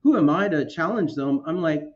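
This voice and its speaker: An earnest man talks as a guest in a casual chat, halting and restarting as he goes. His voice sits a little high. The recording sounds somewhat dull and lo-fi. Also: expressive. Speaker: guest, technology officer.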